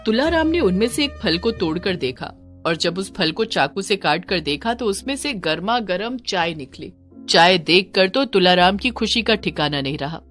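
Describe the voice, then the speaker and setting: A woman's voice: medium pitch, lively intonation, moderate pace, fairly bright tone, soft background music. A woman narrating a scripted children's story.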